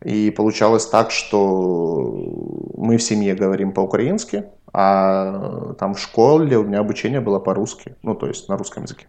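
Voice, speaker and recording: A man's voice: fairly low pitch, big pitch swings, normal speed, vocal fry, darkish tone. A man from Ukraine co-hosting a podcast, explaining things in a reflective, storytelling way during casual chat. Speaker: podcast co-host.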